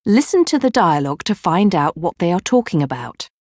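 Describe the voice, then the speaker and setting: A woman narrating a language course in a measured, instructional tone. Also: on the low side, big pitch swings, darkish tone, no room sound, clean studio sound. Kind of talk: scripted narration. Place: dry studio.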